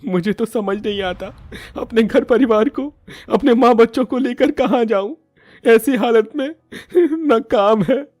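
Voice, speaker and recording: A man's voice: very high-pitched, unhurried, a little mellow, no room sound, clean studio sound, faint music behind. A man voicing a caring, anxious young husband and father in a cartoon, earnest and emotive in manner. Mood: despairing.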